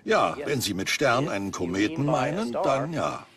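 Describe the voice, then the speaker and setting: Male actor, serious scientist, measured explanation, documentary dub, medium pitch, voices in background. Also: very animated, crowd noise, close to an everyday voice. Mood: earnest, explanatory. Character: earnest male scientist warning of cosmic threats. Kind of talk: dubbed documentary expert explanation.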